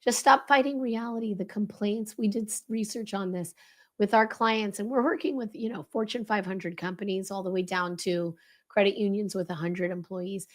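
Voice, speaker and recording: Guest speaker, woman, hoarse and crackly today, brisk coaching delivery. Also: medium pitch. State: hoarse, voice lost. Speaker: leadership consultant guest. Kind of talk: explaining a leadership framework.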